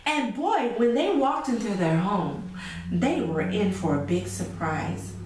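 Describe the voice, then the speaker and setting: A woman's voice: mid-range voice, a little slow. Children's storytime host, woman, middle-aged: emphatic, questioning delivery, very echoey, recorded from a distance. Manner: animated, emphatic, asks the listener questions. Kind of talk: children's storytelling and moral lesson. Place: small indoor room with chalkboard set. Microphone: camera mic a few feet away. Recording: echoey room, distant camera mic, faint noise.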